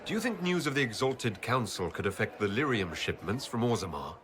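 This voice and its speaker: An actor playing a composed, courteous male leader in a fantasy video game, measured and polite with dry humour. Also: on the low side, very animated, noisy background. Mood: awkward, stalling. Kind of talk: video game cutscene acting.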